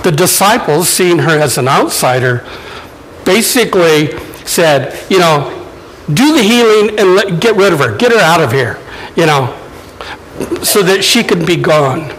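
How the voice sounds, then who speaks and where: A male pastor preaching a sermon in a conversational, deliberate way. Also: on the high side, lively intonation, a bit bright, slight background noise, low-quality recording.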